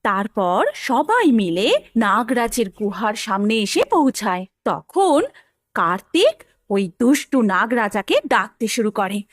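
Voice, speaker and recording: A woman's voice: a little high, very animated, slow pace, no echo, clean studio sound. A woman narrating a children's story from a script.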